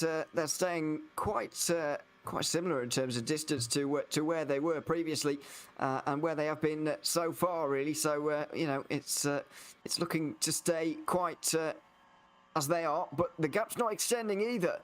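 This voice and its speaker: Hesitant high-voiced male co-commentator. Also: steady tone, moderate pace. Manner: hesitant, many fillers before picking up pace. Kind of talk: live race commentary.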